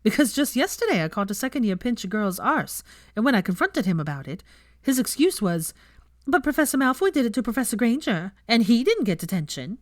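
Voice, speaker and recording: A woman reader plays a blunt young woman teacher in a storybook. She is brash and teasing, quick to needle people, with a lively, raised pitch and a dry, close sound. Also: no room sound.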